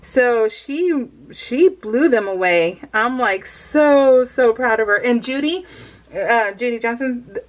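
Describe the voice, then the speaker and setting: A woman's voice: medium pitch, poor audio. A woman in her thirties chatting casually while doing a scrapbooking project, rambling and laughing often. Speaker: scrapbooking vlogger. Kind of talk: casual voiced-over craft chat.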